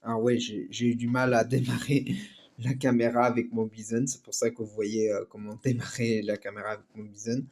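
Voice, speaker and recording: A man's voice: mid-range voice, level tone, brisk, a little mellow. A man hosting a casual game show reaction video, rambling with many fillers. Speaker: YouTube reaction host.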